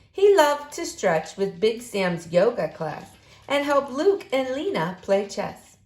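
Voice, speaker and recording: Slow, lively woman reading in an echoey room. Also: mid-range voice. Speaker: storybook reader. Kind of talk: expressive children's read-aloud narration. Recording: roomy, echoing room sound.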